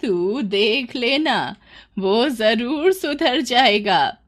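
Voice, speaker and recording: A woman acts out a kindly old village aunt from a cartoon moral tale. She draws her words out slowly and reassuringly, with a sly, knowing air as she gives advice. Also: a little high, animated, voice pushed up, full character voice. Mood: confident. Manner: slow, drawn-out, reassuring delivery. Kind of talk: animated moral story character voice.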